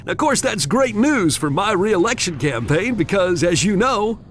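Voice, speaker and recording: An actor playing a pompous half-robot president, glib and self-serving. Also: male voice, high-pitched, big pitch swings, measured pace, slight background noise. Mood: smug.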